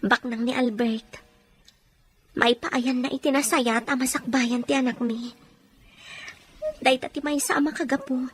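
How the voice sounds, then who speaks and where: A woman's voice: high-pitched, steady tone, a little slow, long pauses. A woman playing a regretful, resigned young mother in an audio drama, confiding and subdued. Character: regretful, resigned young mother working abroad, former secretary. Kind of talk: amateur audio drama acting.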